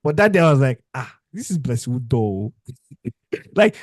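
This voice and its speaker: A man's voice: mid-range voice, very animated, normal speed, no room sound, studio quality. A young man hosting a podcast, asking hesitant, circling questions full of "like" and "um". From Nigeria. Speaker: podcast host. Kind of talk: casual conversational interview.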